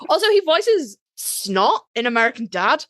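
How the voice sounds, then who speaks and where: A woman with a Yorkshire accent and a fairly high voice that swings widely in pitch. She talks like an opinionated podcast co-host, blunt and sweary, leaning hard on words for drama. The sound is dry and close. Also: very animated, normal speed, fairly bright tone, dry recording. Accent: Yorkshire English. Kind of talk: casual opinionated fan chat, ranked countdown.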